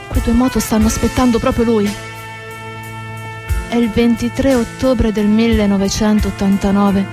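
A woman narrating a dramatic theatre monologue, solemn and deliberate, in short measured phrases. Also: fairly high pitch. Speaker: stage actress narrating.

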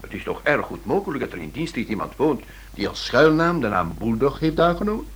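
An actor playing a level-headed, sceptical sleuth's companion in a radio drama, formal and reasoning. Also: male voice, medium pitch, very animated, fairly quick, fairly bright tone, a little hiss or hum.

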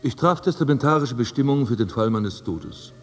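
A man's voice: mid-range voice, animated, brisk, natural voice. A male actor plays a dead aristocratic prince in a radio drama, haughty and wryly indignant. His diction is ornate and pompous. The voice rings in a long hall reverb, with faint music beneath.

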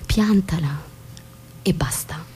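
An actress playing a weary, bitter young suburban wife in an Italian radio stage drama, curt, controlled and biting. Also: female voice, low voice, slow pace, mellow, a little hiss or hum. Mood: hushed exasperation.

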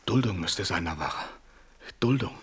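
An actor playing a pious, helpless, wavering king in a German radio drama, with a breathy voice. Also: low-pitched, lively intonation, moderate pace, a bit bright, slight background noise. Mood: weary, musing.

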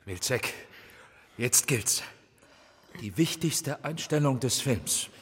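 A man's voice: medium pitch, expressive, slow pace, fairly bright tone, breathy edge, exaggerated voice. A man playing a driven, insistent film director in a radio drama, speaking emphatically. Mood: commanding. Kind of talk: German radio drama acting. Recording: long reverberant hall, music behind.

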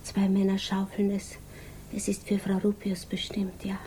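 A woman's voice: medium pitch, completely flat delivery, normal speed, warm tone, lightly acted, poor audio. An actress playing an anxious, brooding young widow, voicing her obsessive thoughts in a 1950s radio drama. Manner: murmured inner monologue with urgent outbursts. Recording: faintly hissy 1950s radio recording.

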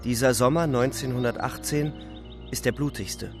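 A man playing a weary, despairing young German soldier, narrating gravely and deliberately in a literary radio play. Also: medium pitch, music in the background, close to an everyday voice. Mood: weary, reflective. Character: twenty-year-old German soldier, weary, despairing, reflective.

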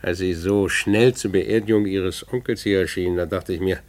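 A man's voice: low voice, steady tone, moderate pace, darkish tone, lightly acted. An actor playing a sly, self-satisfied older businessman with feigned geniality in a 1950s German radio play. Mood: suave, probing.

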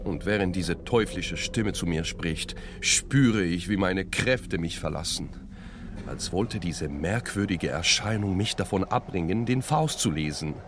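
A man's voice: sits low, lively intonation, measured pace, background noise. A man giving scripted first-person narration for a radio play, dramatic and self-ironic. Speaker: narrator and author.

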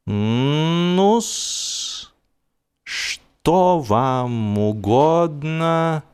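A man's voice: on the high side, animated, clear, resonant tone, voice pushed up. A man voicing a huge old tomcat, brazen and self-assured, drawing out his words with exaggerated courtesy in a comic audiobook. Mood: coolly courteous. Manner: slow, drawn-out, exaggerated politeness.

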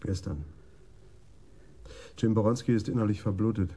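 An actor playing a deferential, plain-spoken police detective with a weary air in a radio crime drama. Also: male voice, lowish voice, steady tone, normal speed, mellow, close to an everyday voice. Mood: matter-of-fact.

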